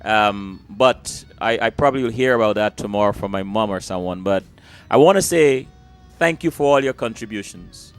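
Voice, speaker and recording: A man's voice: on the low side, normal speed, faint music behind. A man from Guyana, hosting an interview and thanking his guest effusively, often repeating small words. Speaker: host. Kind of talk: interview wrap-up.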